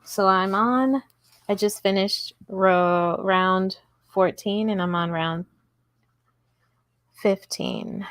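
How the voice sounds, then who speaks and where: Slow-talking chatty woman crocheting. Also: medium pitch, fairly bright tone, dry recording. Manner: chatty, giggly, talks to chat while working. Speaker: crochet livestream host. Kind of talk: casual livestream crochet tutorial. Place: indoors at home table.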